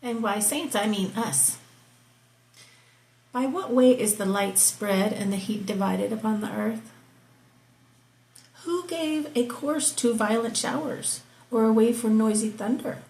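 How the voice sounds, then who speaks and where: Woman, Bible reading host, slow, creaky, casual asides, echoey room. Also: a little high. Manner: reads slowly, breaks in with casual asides and soft whispers. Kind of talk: slow scripture reading with commentary.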